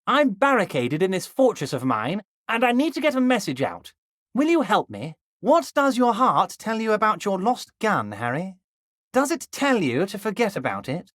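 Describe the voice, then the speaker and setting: A man voicing a scheming middle-aged union boss in a video game, folksy and wheedling, friendly on the surface with a quiet threat underneath. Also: sits high, wildly swooping pitch, moderate pace, no echo, studio quality, exaggerated voice. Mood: conspiratorial. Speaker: game character voice.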